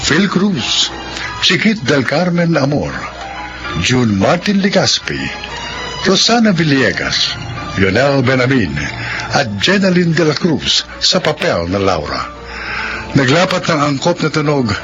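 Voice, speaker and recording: A man's voice: mid-range voice, highs cut off. Formal male radio announcer. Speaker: program announcer. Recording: music bed under voice.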